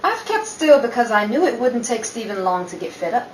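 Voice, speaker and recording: Wronged society wife, acted by a woman, indignant and firm, lively swooping delivery, 1930s radio style, roomy distant sound. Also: a little high, brisk. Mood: indignant resolve. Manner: dramatic, indignant. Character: proud, wounded society wife and mother, firm. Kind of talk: 1930s radio play acting. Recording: echoey room, distant mic, faint noise.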